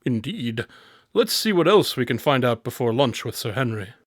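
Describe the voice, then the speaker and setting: An actor playing a shrewd, composed detective in an audio drama, speaking in a measured, analytical and assured way. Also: male voice, mid-range voice, sing-song, no echo, clean studio sound. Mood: watchful.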